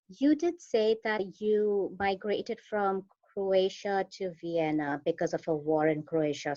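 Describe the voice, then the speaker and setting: Measured woman podcast host, dull sound. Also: mid-range voice, a little mellow. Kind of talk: scripted podcast intro and interview.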